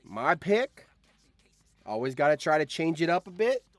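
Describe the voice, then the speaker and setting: A man's voice: a little high, sing-song, normal speed, dry recording. Man hosting a casual livestream, dry close sound. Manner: repeats phrases, thinking aloud while addressing viewers. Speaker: livestream host. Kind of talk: casual livestream chat with viewers.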